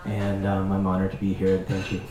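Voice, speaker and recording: A low, mellow-voiced man grew up in Arizona and Alaska and speaks on a panel in a measured, halting way. His pitch stays flat. The sound rings heavily around a large room. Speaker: panelist, storyteller. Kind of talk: panel self-introduction. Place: event venue with audience. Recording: echoey room.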